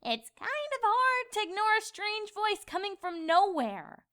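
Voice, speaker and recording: A young female cartoon pony, with a very high, bright voice. She is inquisitive and easily exasperated, swooping through her emphatic questions. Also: very animated, unhurried, full character voice. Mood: aggravated. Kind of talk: cartoon fan fiction dramatization.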